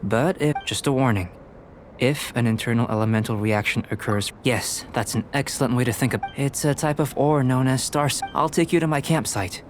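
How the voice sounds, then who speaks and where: A man playing a calm young alchemist researcher in a video game, measured, precise and detached. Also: medium pitch, close to an everyday voice. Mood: calm explaining. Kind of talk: scripted game dialogue. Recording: game music behind the voice.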